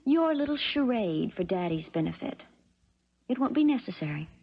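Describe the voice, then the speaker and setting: A woman's voice: medium pitch, very animated, normal speed, gravelly, slightly rough, full character voice. An actress playing a cold, spoiled, scheming young rich woman in a 1970s radio drama, speaking in a haughty, cutting way. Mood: bitter, scornful. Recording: dull, noisy 1970s radio recording.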